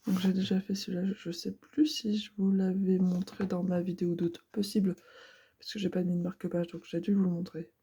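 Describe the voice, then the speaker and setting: A woman's voice: lowish voice, level tone, fairly quick, warm tone, husky. A woman in her twenties with a stuffy nose from a cold, chatting casually and hesitantly about her crafts. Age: 20s. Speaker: craft vlogger. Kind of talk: casual chat.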